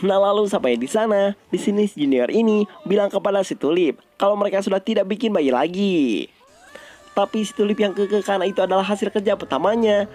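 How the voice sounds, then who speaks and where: A man's voice: high voice, a little mellow. A man narrating a story recap in a casual, colloquial, conversational way.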